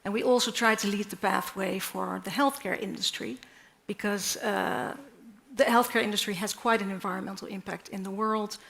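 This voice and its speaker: A woman speaking on a panel for her company, with measured, corporate phrasing and frequent pauses. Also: medium pitch, steady tone, gravelly, a bit bright. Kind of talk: prepared panel remarks. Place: stage at a university event.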